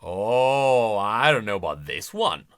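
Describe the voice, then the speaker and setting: A man's voice: lowish voice, big pitch swings, takes it slowly, a bit bright, smooth. A man hosting a game lore channel with casual reaction commentary, musing aloud and leaning hard on key words.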